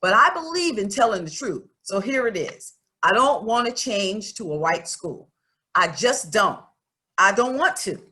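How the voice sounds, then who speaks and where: A woman reads as an outspoken young schoolgirl in a storybook. The voice is bright and forward, with lively swings in pitch. She states her mind in a folksy, emphatic way, earnest and a bit stubborn. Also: unhurried. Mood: stubborn, reluctant. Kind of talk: expressive storybook read-aloud in first person.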